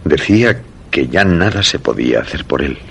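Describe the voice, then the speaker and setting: Casting: solemn, insistent man from Spain, suspense radio play, gravelly and animated. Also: a bass voice, normal speed, slight background noise, exaggerated voice. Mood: grave, reproachful. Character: grave, earnest man convinced something terrible looms. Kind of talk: Spanish radio suspense drama acting.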